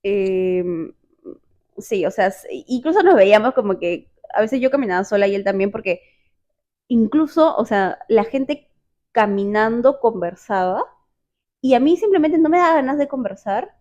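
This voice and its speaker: A woman from Peru with a Peruvian accent, telling a personal story in casual chat, animated and quick to repeat words. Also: on the high side. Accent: Peruvian Spanish.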